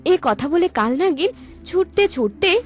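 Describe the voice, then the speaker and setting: High-pitched woman narrating fairy tales. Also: sing-song, a little slow. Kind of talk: scripted cartoon fairy-tale narration. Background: music.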